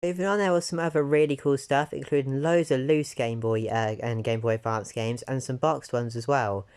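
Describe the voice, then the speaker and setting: A man talks through a game-hunting vlog in a mid-range voice that sounds croaky from a sore throat. He is chatty and keen, and he often doubles his words for emphasis. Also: medium pitch, steady tone, normal speed, darkish tone. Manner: chatty and enthusiastic, repeats "really, really". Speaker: retro game collector vlogger. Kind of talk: casual vlog narration.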